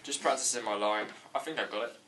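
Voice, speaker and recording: A man plays a clueless, self-satisfied young actor with a bright voice. He is earnest and understated in a comedy table read, with faint noise behind. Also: medium pitch, quick pace, close to an everyday voice.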